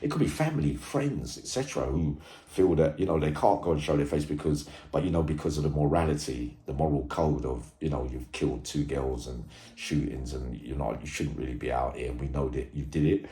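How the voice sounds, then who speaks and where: A man giving informal commentary to viewers, halting and repetitive, stressing points and checking in with tags. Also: rumbling low, mellow. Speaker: true crime video host and commentator. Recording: echoey room sound.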